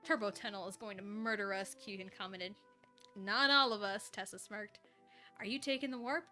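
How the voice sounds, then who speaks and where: A woman's voice: mid-range voice, fairly even intonation, moderate pace, bright, forceful tone, faint music behind. A woman streamer reading fan fiction aloud in a casual way, given to breaking off for offhand reactions of her own. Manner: breaks off to react and giggle at the story. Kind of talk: casual live story reading with offhand asides.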